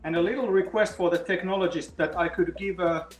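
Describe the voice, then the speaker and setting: A man's voice: sits high, steady tone, a little fast, roomy sound, soft background music. A man hosting a live online quiz, chatting to the players and explaining the answers at length between questions. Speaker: quiz host.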